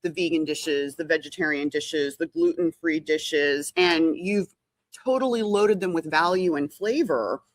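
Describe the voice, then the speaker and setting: A woman's voice: low voice, measured pace, no room sound. A woman from the US East Coast, a TV host leading a chatty food segment interview. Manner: chatty and lively.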